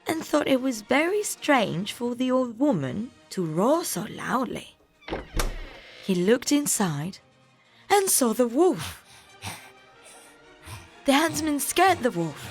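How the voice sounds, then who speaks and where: A woman's voice: a little high, very animated, slow pace, long pauses. Woman storyteller over faint music. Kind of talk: scripted fairy tale narration for children.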